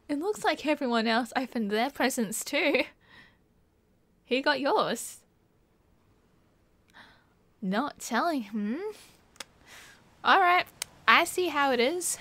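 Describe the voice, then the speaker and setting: A woman's voice: a little high, a bit bright, no room sound, full character voice. A young woman with an Australian accent playing a cheerful, teasing partygoer in a casual ASMR roleplay, chatting in playful slang. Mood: delighted, teasing. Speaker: roleplay voice actor. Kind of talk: casual ASMR roleplay, one side of a chat.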